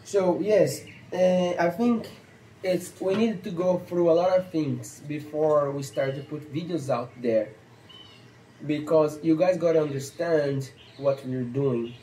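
A man in his thirties with a Brazilian accent, a stock dog trainer explaining training basics in halting, earnest English, seated on an outdoor patio. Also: fairly high pitch, mellow, echoey room, a little hiss or hum, rough recording. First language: Portuguese. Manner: halting, earnest, non-native phrasing with fillers. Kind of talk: instructional talk to camera.

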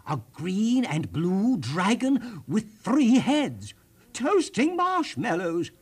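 A man reading a children's story aloud in an expressive, theatrical way, lingering deliberately on the descriptions. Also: sits high, slightly rough. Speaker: storyteller host.

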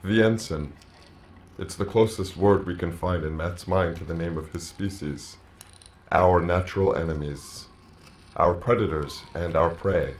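An actor playing a grave alien colony that speaks through a man's body, solemn and measured, in an audio drama. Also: deep voice. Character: ancient alien hive-mind plant colony speaking through a man, grave.

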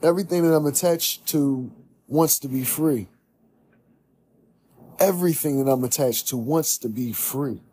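A young man speaks in an earnest, preaching monologue, circling back and repeating his points. His voice is dark and mellow with a husky edge. He sits close to the camera in a small room. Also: medium pitch, unhurried, long pauses. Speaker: podcast host. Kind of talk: reflective monologue.

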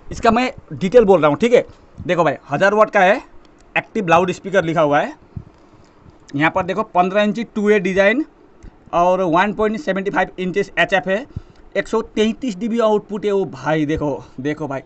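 A man's voice: high-pitched, brisk. A young man from India casually presenting products to camera as a video host, emphatic and repetitive, addressing viewers like brothers. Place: indoor electronics showroom.

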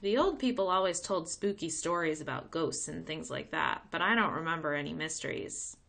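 A woman reading the part of a folksy, gently firm grandmother in a children's storybook. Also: sits low, normal speed, gravelly, heavily acted. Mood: reminiscing. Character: warm, weary grandmother telling of old times.

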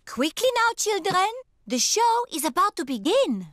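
A woman voicing a brisk, orderly gazelle schoolteacher in a cartoon, with a sing-song instructing manner. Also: extremely high pitch, big pitch swings, a bit bright, dry recording, heavily acted. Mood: brisk, bossy.

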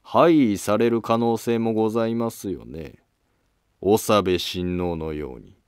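A man's voice: on the low side, a little slow, smooth, no room sound, studio quality, natural voice. A man playing a polite, probing court noble in a historical drama, speaking in formal, deferential, insinuating phrases. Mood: probing, courteous. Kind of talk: scripted historical drama dialogue.

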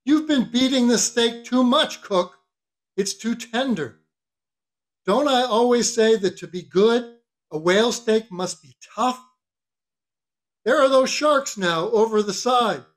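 A man voicing a blustering ship's mate who barks orders in a raised, loud, mocking manner. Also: very high-pitched, slow, pauses often, voice pushed up. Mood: bossy.

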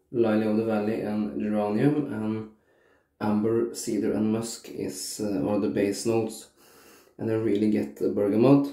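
Congested man with a dark, slow voice. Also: medium pitch. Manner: slow, relaxed, frequent uh and um pauses. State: congested from a cold, sore throat. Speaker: shaving vlogger. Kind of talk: casual product review. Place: small bathroom indoors. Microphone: camera at arm's length. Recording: echoey bathroom.

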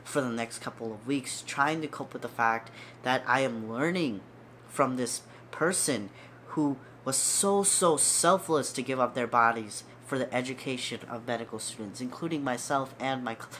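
A man's voice: mid-range voice, normal speed, a bit bright, slight background noise. A young man in his twenties, a medical student, talking casually and earnestly to camera from a small room at home, sounding stuffy and repeating words for emphasis. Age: 20s. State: stuffy nose. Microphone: seated facing camera at close range.

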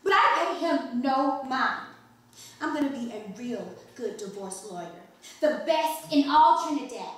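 A young woman in a staged play reading, playing a bright, chatty, boastful twelve-year-old girl from Trinidad. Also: sits high, lively intonation, a little slow. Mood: defiant. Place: wood-panelled mansion room, indoors. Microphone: distant camera microphone. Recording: very echoey room, distant mic, faint hiss.

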